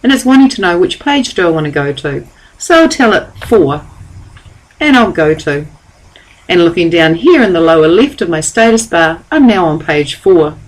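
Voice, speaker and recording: A woman giving a calm, step-by-step software tutorial over a screen recording. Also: fairly low pitch, normal speed, rough recording. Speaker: software trainer.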